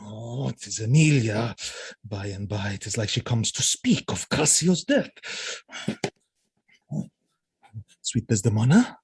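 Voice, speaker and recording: An actor playing a jealous, murderous husband in a Shakespearean audio drama, solemn, declamatory and anguished. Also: male voice, fairly low pitch, darkish tone, slightly rough, no echo, full character voice. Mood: broken, brooding. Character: jealous, murderous husband, grave and tormented military lord.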